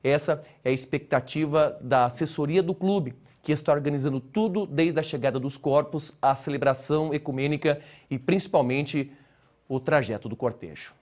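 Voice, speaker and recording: Male studio anchor, Brazilian accent, steady read. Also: a little high, moderate pace. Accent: Brazilian Portuguese. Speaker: news anchor. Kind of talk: news presenting.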